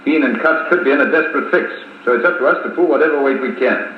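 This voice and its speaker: An actor playing a brisk, gruff, impatient army colonel in a 1950s radio serial. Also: male voice, a little high, close to an everyday voice. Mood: brisk, urgent. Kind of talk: 1950s radio drama acting. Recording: tinny, echoey, noisy 1950s wire recording.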